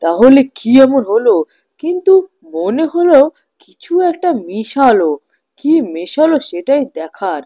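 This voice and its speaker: A woman's voice: high voice, very animated, a little slow, dark tone, exaggerated voice. A woman voicing a jealous, scheming male street-food vendor in a children's cartoon, muttering plots to himself. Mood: suspicious. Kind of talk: children's cartoon fairy tale.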